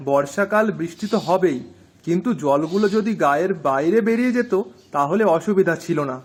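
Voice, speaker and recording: High-pitched anxious village man acted by a man. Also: expressive, a little fast. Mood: earnest, urgent. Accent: Bengali. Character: anxious, civic-minded poor male villager in a folk tale. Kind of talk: dramatised folk-tale dialogue.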